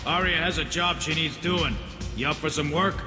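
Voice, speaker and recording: Man as a high-voiced, blunt crime henchman. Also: moderate pace, over music, lightly acted. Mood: businesslike. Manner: blunt, streetwise. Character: curt, cagey alien henchman of a crime boss. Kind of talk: video game voice acting. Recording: echoey hall reverb.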